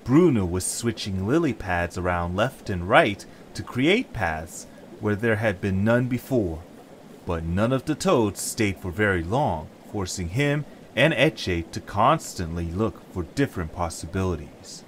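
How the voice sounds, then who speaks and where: A man's voice: lowish voice, lively intonation, measured pace, a little hiss or hum. A man reading scripted story narration as the narrator of an audio drama.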